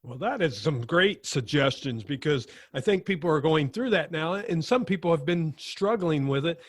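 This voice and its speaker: A man hosts an interview podcast, his voice on the higher side. He asks enthusiastic, loosely built questions, and the recording sounds close and dry. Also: lively intonation, moderate pace, dry recording. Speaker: podcast host. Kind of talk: conversational interview.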